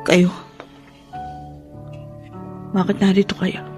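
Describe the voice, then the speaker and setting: A woman's voice: fairly low pitch, completely flat delivery, slow pace, a little mellow. An actress with a Filipino accent playing a sorrowful, guilt-ridden young mother, plaintive, in a radio drama. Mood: pained surprise. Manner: tearful, plaintive. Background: music.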